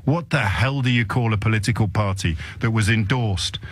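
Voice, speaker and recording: A man's voice: fairly low pitch, moderate pace, no room sound. Impassioned male talk radio host. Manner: impassioned, indignant, emphatic repetition. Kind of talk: opinionated talk radio monologue. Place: radio studio. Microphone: studio microphone.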